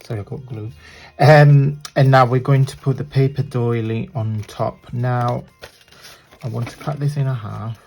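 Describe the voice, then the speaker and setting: A man with a croaky voice hosting a casual craft tutorial, chatting and apologizing as he works with his hands at a desk. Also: medium pitch, fairly even intonation, unhurried, darkish tone, soft background music.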